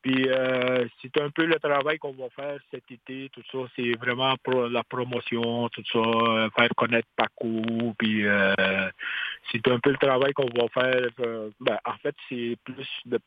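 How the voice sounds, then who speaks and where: Halting man on a tinny phone line. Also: mid-range voice, steady tone, background noise. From Quebec. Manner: hesitant, many restarts and fillers. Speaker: singer-songwriter guest. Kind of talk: radio interview.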